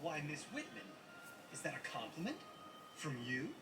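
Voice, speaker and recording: Wisecracking young superhero, wildly animated. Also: male voice, on the high side, moderate pace, very noisy. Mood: wry, joking. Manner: quippy, wry asides. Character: young male costumed superhero, wisecracking, brave. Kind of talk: animated series voice acting. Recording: cartoon soundtrack with loud music, distant.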